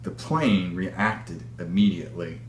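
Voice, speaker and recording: A man with a fairly low voice narrates a story aloud. His delivery is measured and expressive, recorded in a very roomy space with a faint hum behind it. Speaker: author reading his own book. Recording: echoey room with faint hum.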